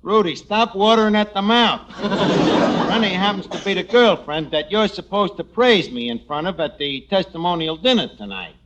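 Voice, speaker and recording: A man's voice: high-pitched, animated, moderate pace. An actor playing a scheming, slangy working-class New York barman with wry asides in 1940s radio comedy style. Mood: exasperated. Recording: noisy old radio broadcast recording.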